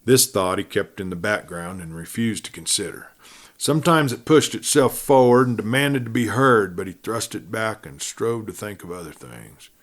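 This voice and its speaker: A man reading a classic adventure story as a dramatic audiobook, with measured, emphatic phrasing that often falls away softly at the ends of sentences. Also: on the low side.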